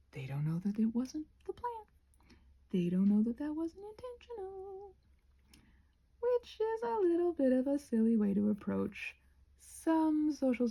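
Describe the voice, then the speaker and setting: A woman chatting casually in a soft-spoken, digressive, self-deprecating ramble as she works on a craft. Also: high voice, vocal fry. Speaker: craft vlogger. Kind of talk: casual rambling chat while painting.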